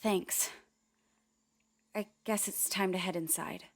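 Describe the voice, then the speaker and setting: A young woman playing a candid, wry narrator in a radio drama, conversational with deliberate pauses. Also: on the low side, steady tone, gravelly, exaggerated voice. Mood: brisk, determined.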